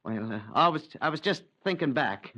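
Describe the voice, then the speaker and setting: A man's voice: a little high, expressive, moderate pace, bright, forceful tone. An actor playing a nervous, evasive man suspected of murdering his wife, stammering and fumbling his words in a 1950s radio drama.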